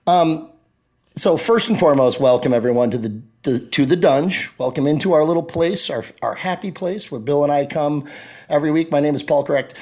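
A man's voice: fairly high pitch, a little fast. A man co-hosting a podcast, chatting casually and telling jokey stories with much laughter. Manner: joking, animated storytelling. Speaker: podcast co-host. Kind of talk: casual banter.

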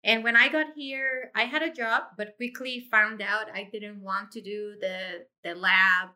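A woman's voice: medium pitch, fairly even intonation, a little slow, pushed and bright. A middle-aged woman, talking expressively and effusively as an interview guest about her own experience. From Puerto Rico. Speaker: interview guest, insurance agency owner. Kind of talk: conversational interview, personal career story.

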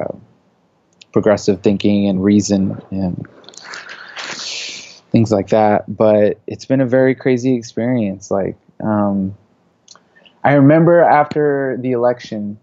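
A man's voice: lowish voice, gravelly, warm tone, dull audio. A man in his thirties, born in Saudi Arabia and raised in the US, speaks as a guest in a casual interview. He talks loosely and leans on "you know" and "like" a lot. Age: 30s. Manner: loose, filler-heavy talk with many "you know"s and "like"s. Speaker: interview guest, media creative.

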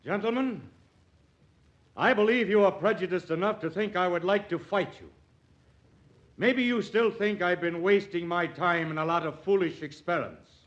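A proud, earnest young doctor in a 1940s-style radio play. His voice sits a little high, articulate and theatrically impassioned. The sound is that of a dull old broadcast. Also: fairly bright tone.

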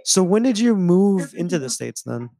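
A man's voice: sits high, very animated, moderate pace, vocal fry, warm tone, no echo. A man hosting a casual podcast chat, easygoing and agreeable with plenty of slang. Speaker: podcast host.

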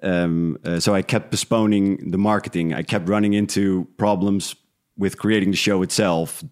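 A man from the Netherlands who grew up speaking talks in a fairly low voice. He is a guest in a relaxed interview, thinking aloud with some hesitation as he explains himself. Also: moderate pace. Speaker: interview guest, independent podcast creator. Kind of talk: casual interview conversation.

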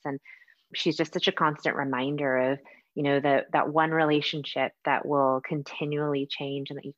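Low-pitched American woman in an echoey room. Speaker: podcast guest. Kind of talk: conversational, personal storytelling. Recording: echoey room, dull lo-fi sound.

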